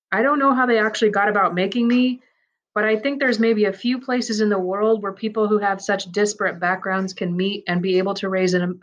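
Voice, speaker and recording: A woman narrating a man's first-person story aloud, in a measured, reflective and earnest way. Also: medium pitch, a bit bright, low-quality recording.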